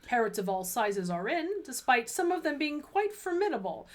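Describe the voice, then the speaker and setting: A woman's voice: a little high, very animated, normal speed. Playful, dry woman co-hosting a livestream. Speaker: livestream co-host. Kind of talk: casual banter and reading aloud.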